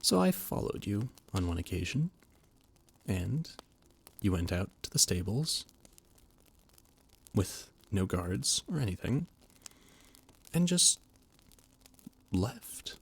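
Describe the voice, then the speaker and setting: Stammering low man, gravelly and husky. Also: very animated, slow, pauses often. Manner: stammering, flustered, formal and courtly. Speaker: voice actor playing a flustered royal adviser. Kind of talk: audio roleplay, scripted monologue.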